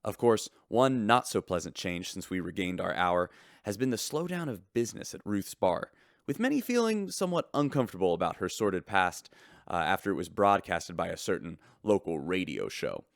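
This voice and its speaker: An actor playing a tongue-in-cheek broadcaster in a sci-fi radio drama, narrating scripted news with theatrical announcer delivery. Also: male voice, low-pitched, big pitch swings, moderate pace, creaky, no room sound, clean studio sound, full character voice. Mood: wry announcing. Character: smooth, tongue-in-cheek male space-station radio broadcaster.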